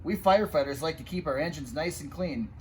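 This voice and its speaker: A man's voice: a little high, fairly quick, a little hiss or hum, close to an everyday voice, rough recording. Man reading as emphatic storybook fire chief. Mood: polite, firm. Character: male fire chief, polite but firm, easily alarmed.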